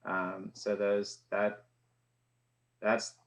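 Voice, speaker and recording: A man interviewing a guest, asking questions slowly and hesitantly. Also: on the low side, monotone, vocal fry. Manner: slow, measured, hesitant. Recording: dull lo-fi sound.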